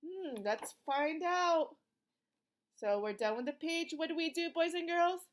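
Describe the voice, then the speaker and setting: High-pitched woman reading to young children. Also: unhurried. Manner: encouraging, sing-song, asks questions and praises listeners like a preschool teacher. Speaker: children's read-aloud host, teacher-like. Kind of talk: interactive picture-book read-aloud for young children.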